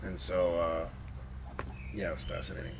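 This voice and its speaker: A young man from the Boston suburbs with a very low voice talks through his thoughts in loose, reflective chat, circling back and restarting his sentences. The home recording carries a steady hiss of noise behind him. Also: measured pace. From suburbs of Boston, USA. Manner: rambling and reflective, with many restarts. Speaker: interview guest. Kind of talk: casual conversation.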